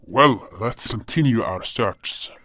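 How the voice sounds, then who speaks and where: A man playing a grim, furry, clawed hunter who narrates a fantasy audio drama in a dramatic, deliberate way. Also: fairly low pitch, lively intonation, fairly bright tone, exaggerated voice. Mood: impatient. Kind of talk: scripted first-person story narration. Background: faint music.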